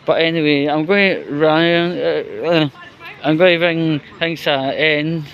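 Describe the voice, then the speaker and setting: Scottish man, vlogger commentary, unhurried and halting, rambling, higher-than-average pitch, light background vehicle noise. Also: fairly even intonation, gravelly, muffled recording. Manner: halting, hesitant, rambling delivery. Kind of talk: unscripted solo vlog commentary.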